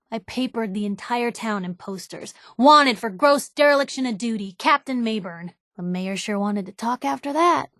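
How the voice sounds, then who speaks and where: An actress playing a feisty, wry young newspaper reporter in a video game, with an American accent and a conversational, sardonic delivery. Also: female voice, mid-range voice, dry recording, clean studio sound, natural voice. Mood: wry, triumphant. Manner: sardonic, conversational, quick-witted asides. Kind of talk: video game companion dialogue.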